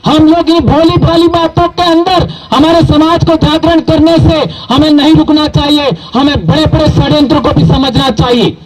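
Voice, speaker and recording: High-voiced man giving a rousing speech. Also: fairly even intonation, normal speed, few pauses, low-quality recording. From southern Rajasthan, India. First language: Bhili. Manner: emphatic, quizzes the crowd with rhetorical questions. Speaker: community speaker at a public gathering. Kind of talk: public speech to an audience. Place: stage at a public event.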